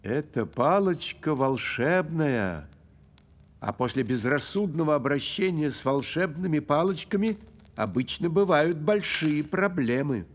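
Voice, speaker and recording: A man's voice: on the high side, animated, a little slow, a little hiss or hum. A man voicing a fussy, dignified cricket in a cartoon, with pompous, theatrical, old-fashioned formal speech. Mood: stern, scolding. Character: fussy, dignified male cricket, keeper of music. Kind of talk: cartoon character acting.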